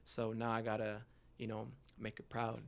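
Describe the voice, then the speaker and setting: A man's voice: on the low side, monotone, moderate pace, vocal fry, clear, resonant tone. Young guy early 20s, podcast guest, talking casual and open, kinda reflective. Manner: earnest, reflective, frequent "you know". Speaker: guest, rapper.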